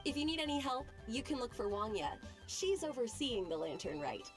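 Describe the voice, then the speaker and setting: A friendly, well-informed woman eagerly explaining a festival to newcomers. Her voice is fairly high and very lively in pitch. Game music plays clearly underneath. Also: moderate pace. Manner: chatty, informative, upbeat. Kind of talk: video game voice acting.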